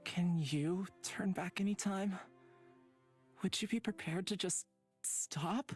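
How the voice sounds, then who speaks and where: A man's voice: high-pitched, takes it slowly, faint music behind. A man voicing a devoted, insecure young companion in a visual novel, earnest, wistful and self-doubting. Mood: wistful, pleading.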